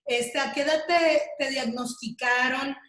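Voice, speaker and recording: A Mexican woman hosting an interview, chatty and filling her pauses with "este". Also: high voice, steady tone, a bit bright, roomy sound. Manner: chatty, with many "este" fillers. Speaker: interview host. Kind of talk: video call interview.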